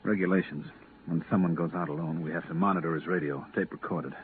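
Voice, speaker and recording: An actor playing a weary, blunt veteran at a moon research station, firm and curt, in 1950s radio drama style. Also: male voice, sits low, steady tone, quick pace, lightly acted. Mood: grim, insistent. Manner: firm, curt, grimly insistent. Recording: tinny, band-limited, hissy 1950s radio recording.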